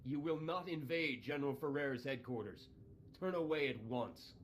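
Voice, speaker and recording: An actor voicing a defiant Republic soldier barking warnings on a battlefield in a video game. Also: male voice, medium pitch, moderate pace, slight background noise, natural voice.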